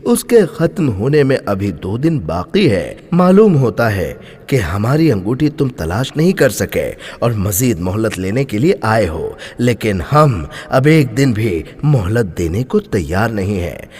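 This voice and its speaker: A man's voice: lowish voice, big pitch swings, a little slow, few pauses, a little mellow. A man voicing a stern, imperious king in a story, speaking in a commanding, formal way. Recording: long hall-like reverb.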